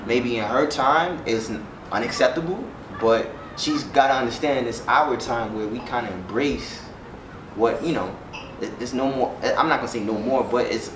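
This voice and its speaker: A man in a casual group discussion, telling a meandering anecdote in halting phrases. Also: mid-range voice. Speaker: panelist, clothing business owner. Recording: echoey room, distant mic, noise.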